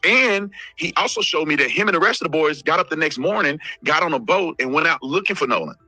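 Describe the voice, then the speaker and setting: A man's voice: a little high, animated, quick pace, pushed and bright, no room sound, faint music behind. A man giving a detailed, methodical account of what he was told, in an explanatory style.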